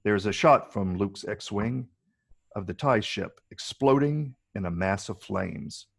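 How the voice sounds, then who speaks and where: A man with a gravelly, husky voice reading screenplay stage directions as scripted narration. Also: lowish voice, expressive, unhurried.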